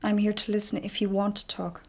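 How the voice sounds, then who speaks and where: An actress playing a calm, measured therapist who asks probing questions, in a naturalistic radio drama. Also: female voice, mid-range voice, close to an everyday voice.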